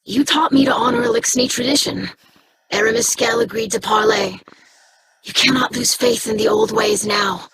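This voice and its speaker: A woman's voice: fairly high pitch, moderate pace, heavy background noise, close to an everyday voice. A woman playing an earnest young female alien scribe in a video game, speaking formally and inquisitively.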